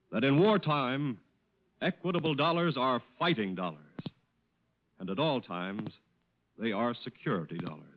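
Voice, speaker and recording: An American man announcing from a script as a 1940s radio sponsor's spokesman, formal and emphatic. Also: medium pitch. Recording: dull old radio broadcast with music. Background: music.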